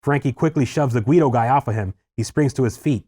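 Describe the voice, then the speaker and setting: A man's voice: medium pitch, fast, warm tone, breathy edge. A man reading stage directions aloud as narrator at a screenplay table read.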